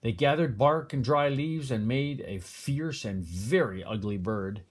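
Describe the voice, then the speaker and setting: Older guy reading a kids fable, grandpa style, expressive and deliberate. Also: mid-range voice, breathy edge. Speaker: grandfather reading a picture book aloud. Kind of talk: storybook read-aloud.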